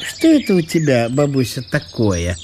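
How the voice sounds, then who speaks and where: A man's voice: mid-range voice, wildly swooping pitch, normal speed, darkish tone, a little hiss or hum. A man playing a curious, covetous country landowner in a radio monodrama, given to musing murmurs and sudden calls. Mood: inquisitive.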